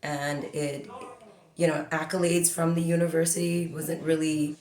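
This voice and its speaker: A woman in her forties from the Bahamas talks freely and at length, full of "you know" and "like". Her voice sits low for a woman. The room gives it a noticeable echo. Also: flat delivery, measured pace, a little mellow. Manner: chatty, talks a lot with many fillers. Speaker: guest. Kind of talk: casual interview.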